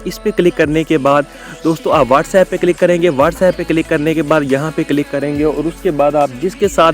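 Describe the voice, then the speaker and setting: A man presenting a casual how-to tutorial, often addressing his viewers as friends. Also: fairly high pitch. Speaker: host of a tech tutorial channel.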